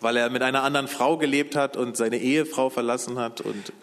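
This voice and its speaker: A man from Berlin renders a preacher's words phrase by phrase with a steady, even delivery. His voice sits at a middle pitch and rings out in a reverberant church hall. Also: fairly quick. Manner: steady, follows the speaker phrase by phrase. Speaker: interpreter. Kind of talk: live sermon interpretation.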